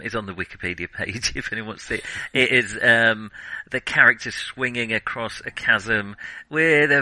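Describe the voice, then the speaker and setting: Dude on a film podcast, super bright sharp voice, dry sound, rambly and tentative, keeps qualifying stuff. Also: male voice, fairly low pitch, no echo, rough recording. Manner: tentative, discursive, qualifies his statements. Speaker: podcast co-host. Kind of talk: casual film discussion.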